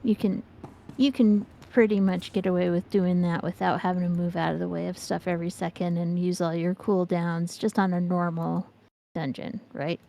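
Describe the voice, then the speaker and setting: A woman's voice: on the low side, flat delivery, moderate pace, vocal fry, warm tone. Candid woman in her fifties, chatting casually. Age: 50s. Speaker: game streamer, co-host. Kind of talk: casual chat about gaming.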